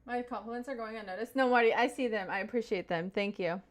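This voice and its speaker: A young woman with a light Southern US accent talks casually on her live stream. Her voice sits a little high, and she keeps thanking viewers as she reads their comments aloud. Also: quick pace. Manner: chatty, thanks viewers over and over.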